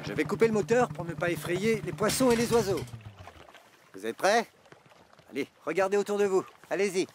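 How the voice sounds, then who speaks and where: A cheerful fisherman at the helm in a cartoon, giving orders to the children with real eagerness. His pitch rises and falls in a lively way, over background music. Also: a little fast. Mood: eager. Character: cheerful male fisherman boat skipper. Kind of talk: cartoon voice acting. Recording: music playing behind.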